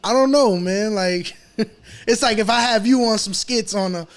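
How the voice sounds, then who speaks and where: Higher-pitched New York man, casual. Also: measured pace. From New York City. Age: 30s. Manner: hesitant, with frequent "you know". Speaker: rapper being interviewed. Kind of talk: casual podcast chat.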